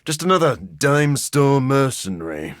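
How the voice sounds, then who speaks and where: A man playing a brooding, scholarly living vampire in a video game, husky and formal, articulate and dryly sardonic. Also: mid-range voice, big pitch swings, a little slow, dry recording, clean studio sound. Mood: wry.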